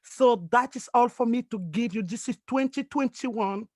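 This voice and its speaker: A woman's voice: fairly high pitch, moderate pace, a little mellow, no room sound. A woman from Cameroon giving an emphatic, earnest motivational speech over a video call as a network marketing leader. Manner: earnest, emphatic, non-native phrasing.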